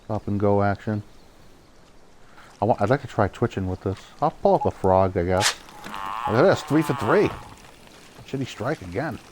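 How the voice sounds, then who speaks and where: Casual young male streamer, Boston accent, lowish pitch, chatty and excitable, faint background hiss. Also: moderate pace, pauses often. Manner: chatty, excitable at good catches, laughs often. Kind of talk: casual live gameplay commentary.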